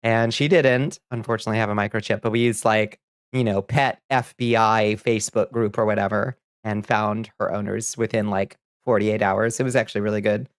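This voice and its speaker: A man's voice: fairly low pitch, fairly even intonation, a little fast, clear, resonant tone, no room sound, clean studio sound. A man streaming a game, chatting casually with viewers and reading their messages aloud, a little sniffly, rambling and laughing easily. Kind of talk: casual chat while gaming.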